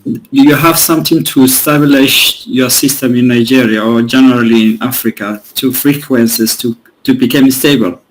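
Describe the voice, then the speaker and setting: Male student on a video call, halting and unsure. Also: medium pitch, steady tone, a little hiss or hum, poor audio. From Somalia. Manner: hesitant, filler-laden. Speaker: student asking a question.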